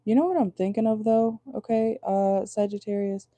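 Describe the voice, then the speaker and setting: A woman with a low voice giving a casual tarot reading from home, chatty and rambling, often checking in with her viewers. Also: level tone, moderate pace, dark tone, no echo. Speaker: tarot reader. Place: at home indoors at night.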